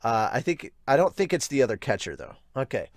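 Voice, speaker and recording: A man hosting a manga review, giving casual read-along commentary with amused, excitable asides. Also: medium pitch, a little fast, no echo, clean studio sound. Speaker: host of a manga review podcast.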